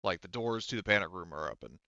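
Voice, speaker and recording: A man's voice: low-pitched, expressive, fast talker, vocal fry, bright, forceful tone, no echo, muffled recording. A man casually improvising as game master of a tabletop roleplaying game.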